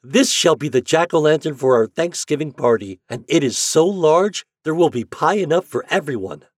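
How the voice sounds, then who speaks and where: A man voicing excited young children in a storybook, exclaiming with gleeful delight. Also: fairly high pitch, normal speed, no echo, clean studio sound, voice pushed up.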